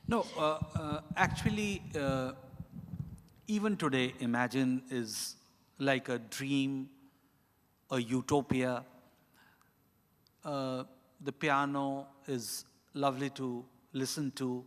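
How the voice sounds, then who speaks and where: A middle-aged man with an Indian accent, an author giving reflective, deliberate, halting answers with emphasis on key words. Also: mid-range voice, steady tone. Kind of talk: reflective spoken answer at a live literary event. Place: large indoor event hall. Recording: echoey hall with long reverb.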